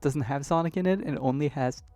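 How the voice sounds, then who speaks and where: A young man streaming from his bedroom at home, chatting casually and rambling a bit, his voice still hoarse from being sick. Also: medium pitch, brisk, warm tone, dry recording, soft background music. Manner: rambling, chatty, laughs easily. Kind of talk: casual live-stream chat.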